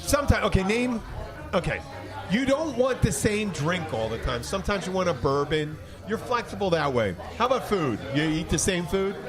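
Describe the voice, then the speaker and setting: A man hosting a casual comic chat, telling stories in a halting, rambling, excitable way. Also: high-pitched, over music. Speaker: podcast host and bartender.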